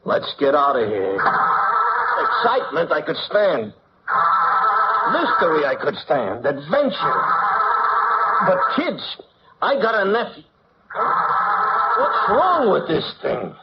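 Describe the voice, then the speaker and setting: Low-key New cabbie sidekick. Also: male voice, wildly swooping pitch, slow pace, pauses often, exaggerated voice. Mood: exasperated. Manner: slangy, wry, ungrammatical. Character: streetwise, talkative cabbie sidekick, comically nervous. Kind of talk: 1940s radio acting. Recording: muffled, noisy old radio recording.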